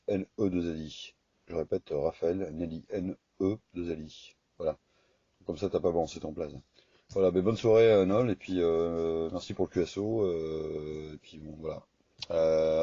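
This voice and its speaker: A man's voice: low voice, steady tone, normal speed, dark tone, no echo. A man chatting casually over two-way radio, rambling and hesitant in a colloquial way. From France. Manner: rambling, hesitant, colloquial, often ending on "quoi" and "hein". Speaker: radio amateur operator. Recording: slightly dull, lo-fi radio-chat recording.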